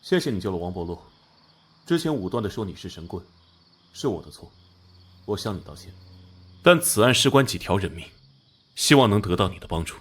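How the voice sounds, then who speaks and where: A man acting an earnest, authoritative police detective captain in a Chinese animated crime drama. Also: low-pitched, breathy edge, exaggerated voice. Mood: sober, apologetic. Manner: authoritative, brisk, serious.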